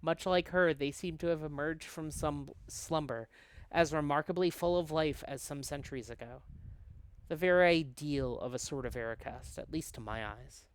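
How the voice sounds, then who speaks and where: A man voicing a thoughtful, eloquent scholar in a visual novel, with measured, formal, literary phrasing. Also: on the high side, smooth, dry recording, natural voice, poor audio. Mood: gentle, curious. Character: thoughtful, eloquent male scholar studying arcane flowers. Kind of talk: visual novel read-aloud with narration.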